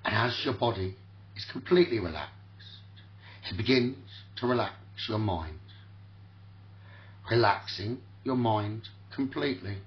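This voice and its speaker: A man leading a guided sleep meditation as host, speaking soothingly in short, repeated phrases with long pauses, on a muffled lo-fi recording. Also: on the low side, expressive. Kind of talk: guided sleep meditation, spoken relaxation talkdown.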